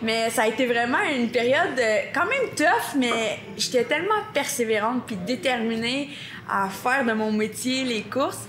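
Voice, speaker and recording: A woman in her thirties with a Québécois French accent, telling her story as a podcast guest, lively and quick to laugh. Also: on the high side, roomy sound, a crowd in the background. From Quebec, Canada. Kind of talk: casual chat.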